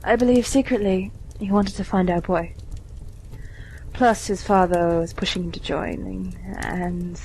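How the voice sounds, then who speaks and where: A woman playing a kindly, weary widowed lady in an amateur radio play, with a measured, genteel delivery. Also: lowish voice, fairly even intonation, a little mellow, slight background noise, poor audio. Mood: sorrowful.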